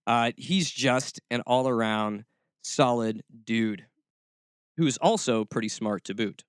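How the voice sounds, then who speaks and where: A man's voice: medium pitch, slow pace, clean tone, no room sound. Informal male host, close dry sound. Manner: effusively thankful, informal. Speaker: show host. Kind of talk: casual interview hosting.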